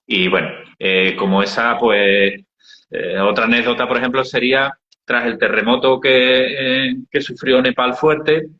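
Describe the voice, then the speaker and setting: A middle-aged man with an Andalusian Spanish accent, telling travel anecdotes in an unhurried interview style, with frequent hesitations. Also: mid-range voice, fairly even intonation. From Andalusia, Spain. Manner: unhurried storytelling with many hesitations. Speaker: interviewee, founder of an aid project. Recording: dull, roomy recording.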